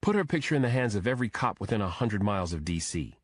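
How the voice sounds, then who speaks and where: A man's voice: fairly low pitch, very animated, fast talker, no echo, clean studio sound. A man voicing a direct, probing detective. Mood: probing, firm. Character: probing male detective, contract consultant to the FBI. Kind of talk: audiobook character dialogue.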